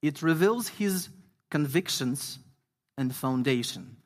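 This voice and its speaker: A man from Ukraine, an English speaker, preaching a sermon earnestly and reading scripture slowly with emphasis. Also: on the high side, animated. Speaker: guest pastor.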